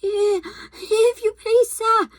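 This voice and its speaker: A woman's voice: very high-pitched, measured pace, fairly bright tone, dry recording, pitched above the performer's own voice. A woman voicing a frightened young boy who stammers and trembles as he speaks. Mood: stammering fear. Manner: stammering, breathless.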